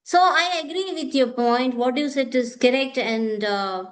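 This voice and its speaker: A woman talks through speaking practice in a high voice. She sounds like a teacher in casual conversation, agreeing often and encouraging the other speaker. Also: measured pace. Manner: encouraging, repeats "okay" often. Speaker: English teacher and host.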